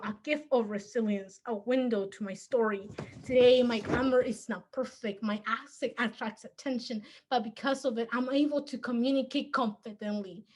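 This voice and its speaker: A teenage girl, a native Spanish speaker with a heavy Spanish accent, giving a measured, earnest memorized competition speech. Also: fairly high pitch, slight background noise, poor audio. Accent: Spanish-accented English. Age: teens. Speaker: student speaker.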